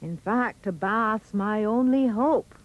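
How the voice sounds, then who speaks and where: A woman voicing an excitable, boastful young boy in a comic verse story, eager and exclamatory. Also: medium pitch, measured pace, husky, dull audio. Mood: dismayed.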